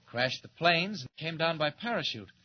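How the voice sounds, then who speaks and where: A man's voice: fairly high pitch, animated, normal speed, a little hiss or hum, lightly acted. Man voicing mild polite young reporter, modest, diffident, 1940s radio acting, lo-fi.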